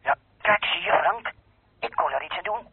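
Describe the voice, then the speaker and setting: A man's voice: sits high, expressive, moderate pace, gravelly, heavy background noise. A man playing a nervous, hesitant, evasive petty crook in a radio drama. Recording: tinny telephone-like old radio recording.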